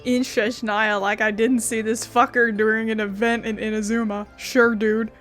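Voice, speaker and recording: A woman's voice: on the high side, little pitch movement, normal speed. A woman giving casual, sarcastic, sweary commentary as she plays through a game. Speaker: gaming video host. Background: soft game music.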